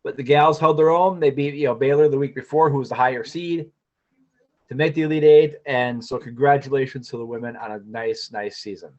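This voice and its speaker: A man's voice: medium pitch, steady tone, fairly quick. A man co-hosting a sports podcast, casually reading through scores and schedules. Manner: chatty, reads scores and schedules off screen. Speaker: podcast co-host. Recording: dull lo-fi podcast audio.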